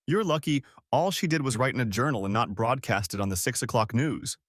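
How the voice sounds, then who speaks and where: A man's voice: medium pitch, a little fast, a bit bright, no echo, clean studio sound, natural voice. A man voicing a furious betrayed wife in a story, biting and indignant. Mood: scornful. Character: furious betrayed wife, sharp-tongued and scornful.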